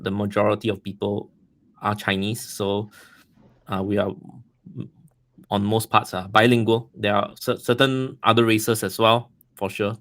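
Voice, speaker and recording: A man's voice: on the low side, fairly bright tone. A man from Singapore with a Singaporean accent, a podcast guest talking in a friendly way, repeating words as he thinks. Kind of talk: casual podcast interview.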